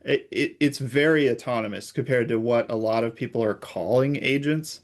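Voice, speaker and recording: A man's voice: medium pitch, normal speed. A man speaking as an interview guest, giving informal, conversational explanations as a technical expert.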